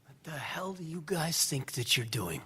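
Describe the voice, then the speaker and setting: An actor playing a hot-tempered government official in a radio drama, barking threats in a husky voice. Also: male voice, fairly high pitch, big pitch swings, normal speed, background noise. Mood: angry, threatening.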